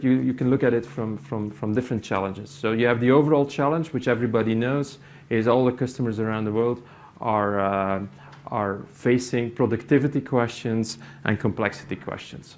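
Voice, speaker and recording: A middle-aged man from Belgium, a native Dutch speaker with a Belgian Dutch accent, giving a conference talk in a meeting room, halting, with many fillers and restarts. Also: medium pitch, steady tone, a little mellow. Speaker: conference speaker, company IT executive. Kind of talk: conference presentation.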